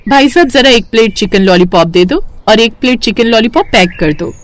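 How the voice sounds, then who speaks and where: A woman voicing an impatient, brisk female customer at a food stall in a story. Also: a little high, lively intonation, natural voice.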